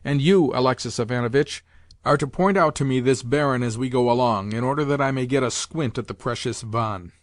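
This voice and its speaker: A man's voice: mid-range voice, brisk, no echo, clean studio sound, close to an everyday voice. A man reading a novel aloud, voicing an imperious old grandmother who bluntly scolds and gives orders. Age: older. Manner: commanding and blunt, hurling insults. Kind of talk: audiobook character voice.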